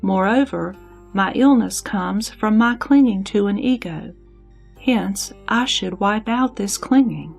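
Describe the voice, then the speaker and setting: Slow, creaky-voiced woman as contemplative bodhisattva. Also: mid-range voice, faint music behind. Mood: calm, contemplative. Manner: contemplative, deliberate. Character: sick bodhisattva reflecting calmly on illness. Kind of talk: scripture audio drama.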